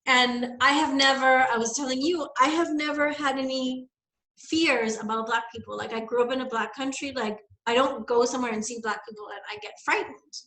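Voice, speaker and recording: A young woman from the Bahamas tells a personal story in a relaxed, reflective way, with lots of fillers as she thinks aloud. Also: high-pitched, a little fast, reverberant, low-quality recording. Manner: reflective, rambling, many fillers. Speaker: guest. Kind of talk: casual conversation, personal story.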